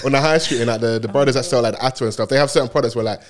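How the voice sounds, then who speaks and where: A young man co-hosting a casual podcast chat, slangy and quick to break into loud, high laughter. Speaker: podcast co-host.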